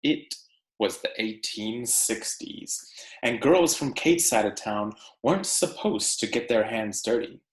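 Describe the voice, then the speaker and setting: A man teacher reading aloud to children, with deliberate storybook phrasing and casual delivery. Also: medium pitch, animated, echoey room, poor audio.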